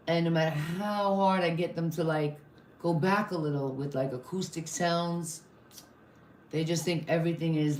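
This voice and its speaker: A woman's voice: low voice, a little mellow, echoey room, slight background noise. A woman hosting a casual live-stream interview, chatty and rambling, apologizing and laughing often. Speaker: host. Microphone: live-stream video call.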